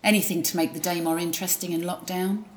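A woman's voice: on the low side, steady tone, fast, over loud music. A woman narrating a drama in a wry, gossipy first-person voice.

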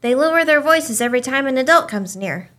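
A woman's voice: high voice, animated, fairly quick, a bit bright. A woman playing a supportive, gossipy small-town mother in a radio play, with a chatty conversational manner. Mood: confiding.

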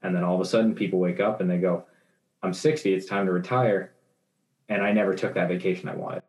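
Very deep, flat-voiced young man. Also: fast talker. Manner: rambling, many restarts and fillers. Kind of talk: casual discussion. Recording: roomy echo.

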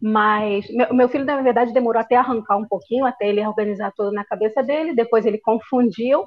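A woman's voice: fairly high pitch, quick pace. Chatty Carioca woman, lo-fi sound. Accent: Carioca Brazilian Portuguese. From Brazil (Rio de Janeiro). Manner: chatty, many filler tags. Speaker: podcast guest, language teacher. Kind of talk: casual podcast chat. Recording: dull lo-fi sound.

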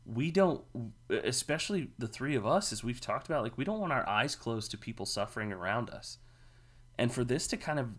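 Earnest emphatic man minister. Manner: earnest, emphatic, rises in pitch when stressing points. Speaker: podcast co-host, minister. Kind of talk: casual discussion.

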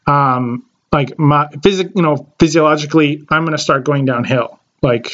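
A man's voice: fairly high pitch, moderate pace, a bit bright, lo-fi sound. A man in his late twenties, a podcast co-host chatting casually and rambling with many fillers and restarts. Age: late 20s. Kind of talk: casual chat.

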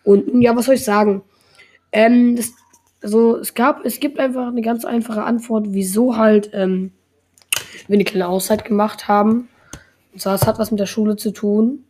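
A woman's voice: on the high side, steady tone, normal speed, a little mellow. A young woman in her teens hosting a casual podcast, rambling along with lots of filler words. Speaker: podcast host.

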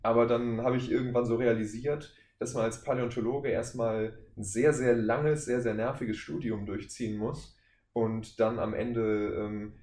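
A man's voice: medium pitch, flat delivery, normal speed, a little mellow. A man in his twenties from Germany talking casually as an interview guest, with a jokey, self-deprecating manner. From Wuppertal, Germany. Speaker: voice actor, podcast guest. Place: indoor room shared with the hosts. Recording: roomy echo, faint background noise.

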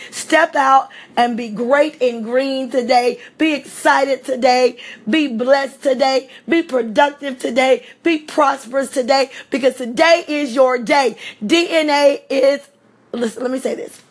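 A high-pitched woman with a slightly hoarse voice. She delivers a fervent motivational pep talk with repetition and bursts of shouting. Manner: energetic, preachy repetition, occasional shouting. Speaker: motivational speaker.

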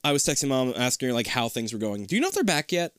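Loud lisping guy, very animated pitch. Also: rapid-fire, clear, resonant tone, no room sound, studio quality. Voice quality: lisp. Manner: loud, projecting, laughs often. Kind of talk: casual chat.

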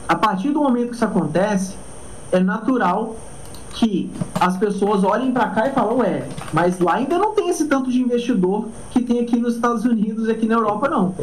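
A man's voice: sits high, expressive, brisk, a little hiss or hum, rough recording. A startup cofounder, a man, answering an interview casually and padding his speech with fillers and tag questions.

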